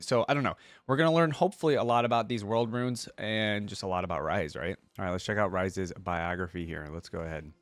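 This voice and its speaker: A man's voice: on the low side, no room sound. A man hosting a reaction video, chatting informally and reading lore aloud with commentary.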